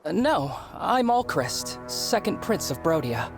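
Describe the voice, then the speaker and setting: A formal, high-strung young prince for a video game cutscene. His pitch moves around a great deal as he speaks, and music plays clearly behind him. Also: sing-song, slow pace, exaggerated voice. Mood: sheepish, formal. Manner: formal, self-deprecating. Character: anxious, apologetic young prince, stern when guarded.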